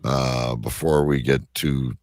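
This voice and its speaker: A man hosting a casual live show, talking in a rambling, halting way. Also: a bass voice, steady tone, a little mellow, no room sound, studio quality. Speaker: show host.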